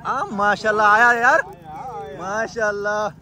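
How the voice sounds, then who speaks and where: A young man casually vlogging outdoors at a lake, excitedly pointing things out to viewers. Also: high-pitched, a crowd in the background. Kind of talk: casual vlog narration. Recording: noisy outdoor recording with rushing water.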